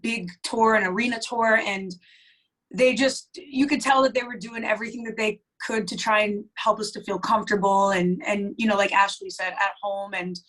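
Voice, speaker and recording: A woman's voice: medium pitch, steady tone, moderate pace, a bit bright, low-quality recording. A young woman, a band's lead singer, chatting casually in an interview with lots of fillers. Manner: chatty, many "like" fillers, laughs easily.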